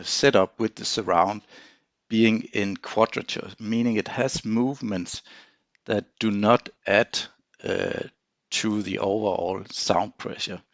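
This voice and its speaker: Low, creaky-voiced man explaining haltingly. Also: dry recording, highs cut off. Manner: halting, hesitant explaining with frequent pauses. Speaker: engineer presenting a software tutorial. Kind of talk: informal technical screen demonstration.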